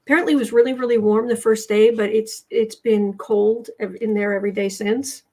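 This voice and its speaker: An American woman hosting a casual tarot livestream, chatting haltingly as she comments and reads out viewer messages, often repeating her words. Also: a little high, steady tone, darkish tone. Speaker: host of a live tarot and astrology show.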